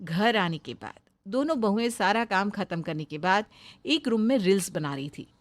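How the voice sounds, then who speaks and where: A woman narrates a cartoon moral tale from a script. Her intonation swings widely and expressively around a medium pitch. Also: lively intonation, normal speed, dry recording.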